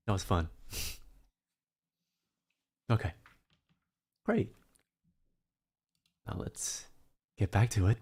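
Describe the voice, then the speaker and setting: Raspy-voiced male streamer, slow and mellow. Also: on the low side. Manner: rambling, swearing, laughing often. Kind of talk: casual chat and singing.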